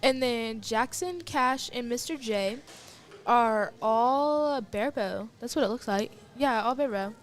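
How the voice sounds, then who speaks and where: A young female commentator in her teens with an American accent and a high voice. She talks informally and chattily, drifting off on asides as she covers live sport. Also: measured pace. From Texas, United States. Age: teenager. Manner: chatty, informal, easily sidetracked. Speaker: youth broadcast commentator.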